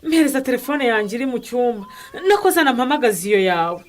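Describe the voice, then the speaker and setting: A woman's voice: on the high side, expressive, quick pace, a little hiss or hum, lightly acted. A woman playing a worried mother in a radio drama, full of exclamations. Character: worried mother, exclaiming over missing father-in-law. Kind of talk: Kinyarwanda radio drama acting.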